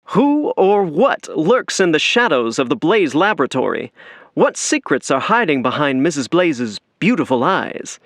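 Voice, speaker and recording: Casting: suave, grandiose 1940s radio show announcer, higher-pitched voice, dry studio sound. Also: male voice, big pitch swings, unhurried, fairly bright tone, no echo, heavily acted. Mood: ominous intrigue. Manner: dramatic, grandiose delivery. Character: suave, theatrical male old-time radio show announcer.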